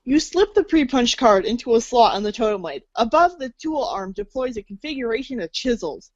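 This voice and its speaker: High-voiced woman narrating a storybook. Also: animated, a little fast, a little mellow, no room sound, lo-fi sound. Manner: storytelling, second-person address. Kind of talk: scripted read-along game narration.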